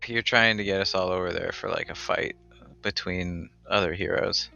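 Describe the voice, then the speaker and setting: Player, male, lowish pitch with a very bright edge, dull lo-fi mic, hesitant. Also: fairly even intonation, moderate pace, creaky, faint music behind. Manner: thinking aloud, hesitant. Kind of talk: casual tabletop game chat.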